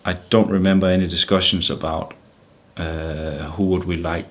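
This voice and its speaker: Danish guy, middle-aged, low voice, stops and restarts a lot, football manager at a press conference. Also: fairly even intonation, a bit bright. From Denmark. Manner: halting, repeats words while searching for phrasing.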